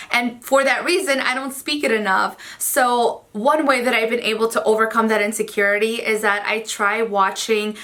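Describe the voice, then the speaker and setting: A woman's voice: a little high, sharp tone. A young woman, Spanish as her first language, chatting earnestly to camera about herself in a casual vlog, with frequent "like" fillers. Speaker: beauty vlogger.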